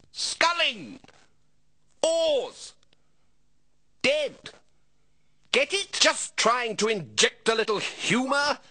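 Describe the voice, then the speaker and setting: An actor playing a chirpy, wisecracking undertaker in a comic video game, pitching like an obsequious salesman who enjoys his own puns. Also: sing-song, extremely slow, pauses often, sharp tone, exaggerated voice. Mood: jokey. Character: chirpy, wisecracking male undertaker hawking corpse transport.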